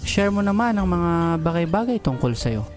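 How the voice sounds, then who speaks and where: A man's voice: high voice, sing-song, normal speed, music in the background. Man acting reserved, secretly smitten Filipino office boss. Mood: casual, probing. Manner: businesslike, with smitten slips he covers up. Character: male office boss, reserved but awkwardly flirtatious. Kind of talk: romantic audio drama acting, Tagalog-English mix.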